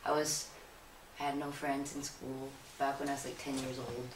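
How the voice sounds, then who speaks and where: Low-voiced, lively woman from Singapore. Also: normal speed. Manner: lively, playful, animated storytelling. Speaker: guest. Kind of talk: casual interview. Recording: roomy echo, mic at a distance, faint background hiss.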